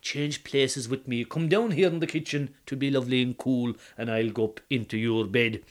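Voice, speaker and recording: A man's voice: high-pitched, lively intonation, measured pace, voice pushed up. Cunning Irish farmer trickster, put on by a male storyteller, sly, theatrical. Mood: coaxing.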